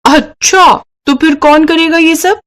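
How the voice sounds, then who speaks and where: A woman voicing a fond, teasing village mother in a cartoon moral story, affectionate and gently scolding. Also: high-pitched, steady tone, unhurried, voice pushed up.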